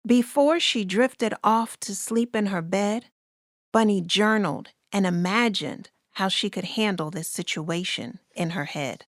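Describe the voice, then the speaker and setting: A woman reading a rhyming children's story aloud in a deliberate, expressive way as its narrator. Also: medium pitch, no echo, clean studio sound.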